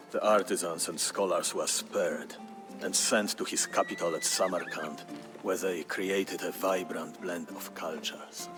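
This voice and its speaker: A man narrating a historical tale as scripted storytelling, in a grave, measured, theatrical manner. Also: sits low. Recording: music behind the voice. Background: music.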